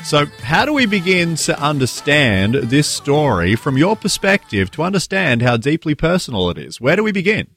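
A man hosting a radio interview, asking measured, polished questions. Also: a little high, sing-song, fairly bright tone, faint music behind. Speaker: radio host.

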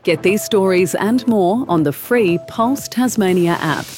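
A woman presenting a local radio news update with crisp headline reading. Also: medium pitch, expressive, a little slow, music in the background.

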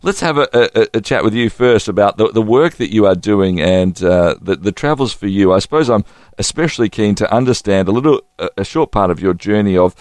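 A man's voice: on the low side, expressive, brisk, darkish tone, dry recording. A man hosting a conversational radio interview, often repeating words as he feels his way into questions. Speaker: radio host.

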